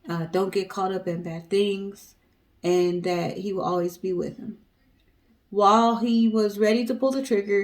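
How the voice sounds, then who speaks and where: A woman's voice: medium pitch, creaky, a little mellow. A woman with an American accent co-hosting a storytelling show, talking casually to viewers and recounting stories. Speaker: co-host of a storytelling guessing show.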